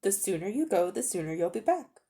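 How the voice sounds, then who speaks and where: A woman's voice: medium pitch, sing-song, brisk, vocal fry, warm tone. A woman voicing a haughty, cold-hearted older magician uncle in a dramatic storybook reading, condescending and coolly menacing. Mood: cold, goading.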